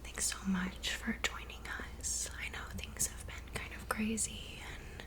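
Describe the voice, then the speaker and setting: A woman's voice: medium pitch, flat delivery, a little slow, lightly acted. A woman voicing a friendly teenage cheerleader in a whispered ASMR roleplay, chatty and welcoming, close to the mic. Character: friendly teen girl cheerleader in a club. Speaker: ASMR creator.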